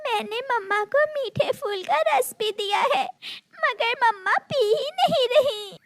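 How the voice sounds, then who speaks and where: Woman voicing a high, plaintive little girl bird. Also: lively intonation, a little slow, no room sound, exaggerated voice. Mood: upset. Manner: pleading, plaintive. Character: little girl bird, tearful protective big sister.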